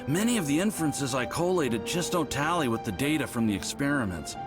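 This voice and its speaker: A man's voice: mid-range voice, animated, brisk, lightly acted. A man playing an earnest, flustered young research assistant in a video game, hesitant and self-effacing. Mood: apologetic. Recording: game audio with music behind.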